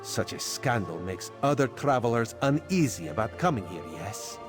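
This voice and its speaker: A man's voice: mid-range voice, big pitch swings, moderate pace, over music, close to an everyday voice. An actor playing a sly male cat-folk spymaster who talks about himself in the third person, in a video game. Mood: wry.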